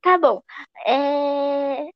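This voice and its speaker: A woman's voice: high-pitched, drawn-out words, dry recording. A young woman in casual podcast chat, talking in a chatty, rambling way. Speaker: podcast guest. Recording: dry, dull lo-fi recording.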